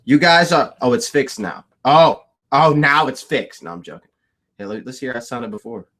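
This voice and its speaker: A man's voice: mid-range voice, animated, moderate pace, a bit bright. A man hosting a casual livestream, who laughs often, repeats himself and answers his chat. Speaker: livestream host.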